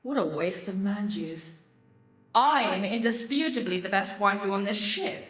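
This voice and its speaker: An actress playing a vain, scornful succubus demon in a video game, with theatrical, haughty, sarcastic delivery. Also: female voice, mid-range voice, animated, moderate pace, fairly bright tone, echoey room, recorded from a distance, lo-fi sound, natural voice. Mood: indignant, boastful. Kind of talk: video game character dialogue.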